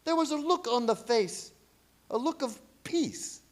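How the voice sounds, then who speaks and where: A man acts out a zealous vampire hunter in a radio horror play. His voice is high and swings widely in pitch, grave, commanding and theatrical. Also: animated, a little slow. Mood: solemn recounting. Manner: theatrical, urgent, solemn. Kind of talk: radio horror drama acting with narration.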